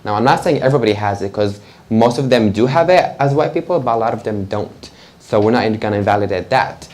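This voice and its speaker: A young man from Georgia talks openly in English as a panel guest. His voice sits on the low side colors his speech. He is candid, energetic and fond of fillers. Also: fast talker. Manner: animated, candid, frequent fillers and laughter. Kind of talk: live panel conversation. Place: event room with audience.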